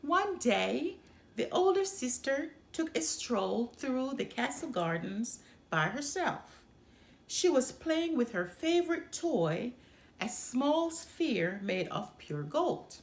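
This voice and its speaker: A woman hosting story time, reading a children's storybook aloud in an expressive narrating style. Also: sits high, takes it slowly, creaky. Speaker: storytime host.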